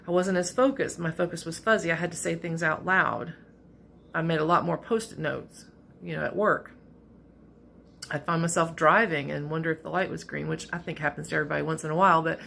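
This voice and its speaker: Middle-aged woman vlogger, raspy and gravelly, lowish voice, candid self-deprecating ramble. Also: a bit bright, a little hiss or hum. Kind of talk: casual personal vlog. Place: office at work.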